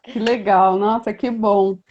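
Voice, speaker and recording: A woman's voice: mid-range voice, fairly even intonation, slow, lo-fi sound. A Brazilian woman hosting a live video-call interview as a doula, chatty with frequent tag questions. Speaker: doula and host.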